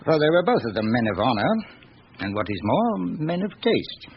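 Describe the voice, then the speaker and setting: An actor playing a genial, worldly seventy-seven-year-old lawyer in a classic radio drama, musing and reminiscing urbanely with thoughtful hums. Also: male voice, on the high side, sing-song, creaky. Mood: amused, wistful. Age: older. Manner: musing, discursive, urbane, with reflective hums and pauses. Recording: dull, hissy old radio recording.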